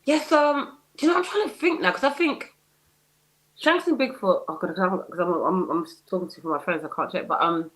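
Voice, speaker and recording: A woman with a raspy voice, a guest singer telling chatty stories in a casual podcast interview and laughing at herself. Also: on the low side, animated, a little fast, fairly bright tone, rough recording. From United Kingdom.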